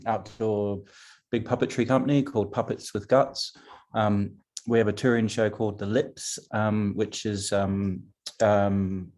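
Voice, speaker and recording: A man's voice: fairly low pitch, a little mellow. A man running a puppetry company, introducing himself on a video call, hesitant and full of fillers. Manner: hesitant, laughing, many fillers.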